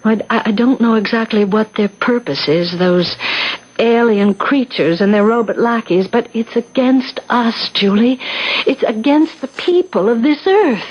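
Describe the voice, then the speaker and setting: An actress playing an alarmed, earnest wife in a 1970s radio drama, speaking haltingly and urgently. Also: female voice, fairly high pitch, breathy edge. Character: alarmed older wife convinced husbands are alien robots. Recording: dull lo-fi 1970s radio recording.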